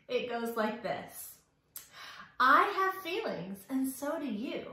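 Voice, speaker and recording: A woman's voice: on the high side, sharp tone. A woman hosting a children's storytime, speaking in an exaggerated, sing-song way, asking questions and giving praise as she tells the story. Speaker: children's library storytime host. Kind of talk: interactive storytelling for young children. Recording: very echoey room.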